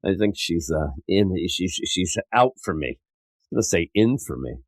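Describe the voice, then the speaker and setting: Sick congested guy rambling thru his podcast intro, lowish voice, bit shaky, dry close sound. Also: big pitch swings, normal speed, no room sound. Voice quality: rough, slightly wavering voice. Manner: rambling, self-mocking. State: sick, stuffed up. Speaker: podcast host. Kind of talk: casual podcast monologue.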